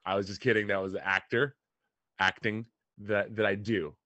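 A man's voice: lowish voice, little pitch movement, moderate pace, sharp tone, no echo, highs cut off. A man co-hosting a casual comedy podcast on a video call, joking in a mock-dramatic way.

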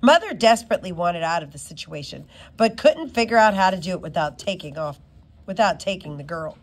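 A woman reading a children's novel aloud as an expressive storyteller. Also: fairly low pitch, a little fast. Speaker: reader.

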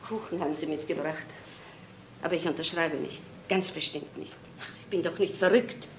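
A woman's voice: sits low, normal speed, a little hiss or hum, natural voice. An actress playing a wistful, stubborn old mother in a crime drama, rambling and repeating herself in short phrases. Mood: plaintive, defiant. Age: older.

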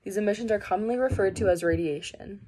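A woman's voice: medium pitch, fast. A teenage female student reading a scripted science explanation into a phone indoors. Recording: noisy phone recording.

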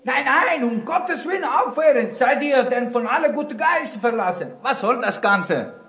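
An actor playing an alarmed, pleading Italian shopkeeper in a German children's audio drama, speaking in an agitated way. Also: male voice, very high voice, lively intonation, moderate pace, fairly bright tone, reverberant, exaggerated voice.

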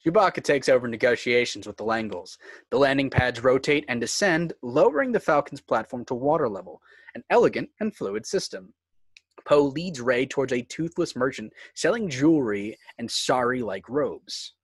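A man's voice: medium pitch, lively intonation, normal speed, slightly rough, no room sound. A man reading screenplay stage directions as the narrator of a script table read.

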